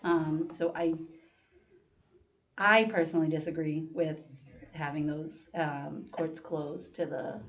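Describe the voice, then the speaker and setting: A woman on a town commission, asking questions at a public meeting with many pauses and ums. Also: low-pitched, fairly even intonation. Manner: hesitant, apologetic, many ums. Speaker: commission member.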